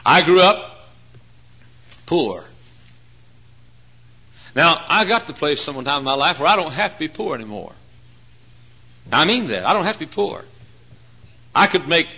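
A man in his forties preaching a folksy, humorous sermon, swinging between hushed asides and loud emphatic points. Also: fairly high pitch, expressive, moderate pace, long pauses. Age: 40s. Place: church.

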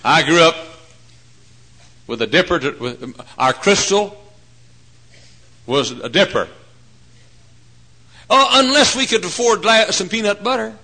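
A man in his forties preaching a folksy, humorous sermon, swinging between hushed asides and loud emphatic points. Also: high voice, lively intonation, measured pace, pauses often. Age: 40s. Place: church.

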